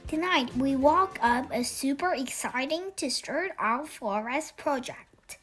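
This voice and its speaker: A young girl around eight reads a children's story aloud. Her voice is high and very lively in pitch, and her pace is slow. She reads earnestly and carefully, now and then stumbling over a word. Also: a little hiss or hum. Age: child, about eight. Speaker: young reader of a children's book read-aloud. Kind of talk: expressive storybook read-aloud narration.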